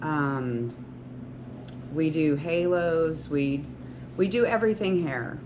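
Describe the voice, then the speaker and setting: A woman's voice: sits low, takes it slowly. A woman in her sixties, a hairstylist and salon owner, talking earnestly to beauty students in an encouraging, rambling way with many restarts. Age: 60s. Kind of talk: talk to beauty school students. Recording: lo-fi with loud background noise.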